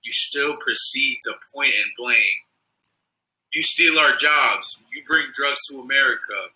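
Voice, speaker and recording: A teenage boy performs spoken word poetry with earnest, declaiming delivery. His voice is very bright and keen, and the room throws back a noticeable echo. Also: medium pitch, measured pace. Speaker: student poet. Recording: echoey room.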